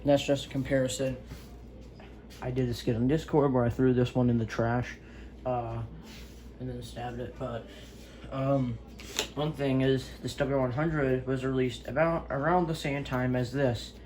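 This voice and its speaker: A man's voice: medium pitch, fairly even intonation, measured pace, a little mellow, a little hiss or hum, poor audio. A man giving a casual, unscripted product review in a hesitant, rambling way, on an amateur home recording. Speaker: gadget reviewer.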